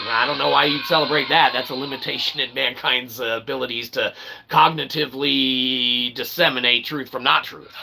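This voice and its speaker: A man with a raspy, gravelly voice giving an improvised philosophical monologue in wordy, pseudo-formal phrasing, often checking in with short tag words. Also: mid-range voice, background noise. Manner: rambling, wordy pseudo-formal phrasing with frequent "okay" and "all right" check-ins. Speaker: independent online philosophy presenter.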